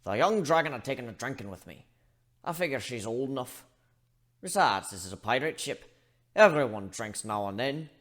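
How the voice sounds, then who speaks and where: Man voicing a gruff, animated old-salt pirate grumbler. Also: medium pitch, moderate pace, lightly acted. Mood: relaxed grumbling. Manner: grumbling, rough colloquial speech. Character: gruff, grumbling pirate shipbuilder, male, fond of whiskey. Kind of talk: dramatic reading of fan fiction.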